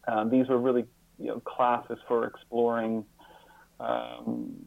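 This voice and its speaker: A man being interviewed as a guest, answering reflectively in a halting, thoughtful way with pauses and repeated words. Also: mid-range voice, completely flat delivery, vocal fry, no echo, phone-quality sound. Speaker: interview guest, author and hospice volunteer.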